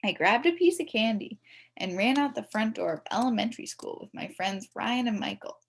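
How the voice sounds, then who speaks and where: A woman's voice: fairly high pitch, expressive, fairly quick, a little hiss or hum. A woman reading a children's story aloud in a theatrical, emphatic way, as a mischievous child telling it. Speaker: storybook reader. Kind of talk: expressive scripted children's story narration.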